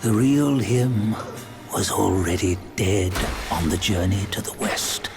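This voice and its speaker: A knowing old man tells a tale, unhurried and very slow. His voice is gravelly, with dramatic pauses, over clear music. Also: lowish voice. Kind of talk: scripted game narration. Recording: music behind the voice.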